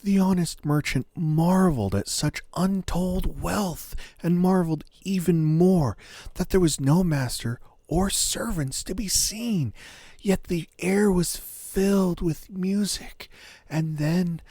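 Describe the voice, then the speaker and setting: A man narrating a scripted fairy tale as a storyteller, in a measured, dramatic manner. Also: high-pitched, warm tone, dry recording, clean studio sound.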